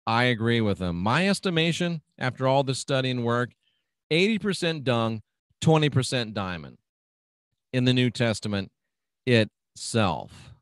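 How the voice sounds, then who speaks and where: A man's voice: medium pitch, lively intonation, unhurried, smooth, no room sound. A man hosting his own radio show, chatting casually and reading out listener comments in a folksy, agreeable way. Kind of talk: casual talk reading out live chat comments.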